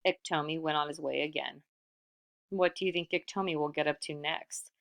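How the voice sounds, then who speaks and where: Low-pitched woman reading a story aloud. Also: normal speed, creaky. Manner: animated, asks the listener questions. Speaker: storybook reader. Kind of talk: expressive read-aloud narration.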